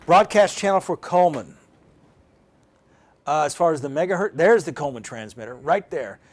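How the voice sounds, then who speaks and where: A man's voice: a little high, lively intonation, moderate pace. An American man giving an informal live demonstration, explaining off the cuff in a folksy, conversational way and thinking aloud as he goes. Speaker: presenter of a weather radio programming demonstration.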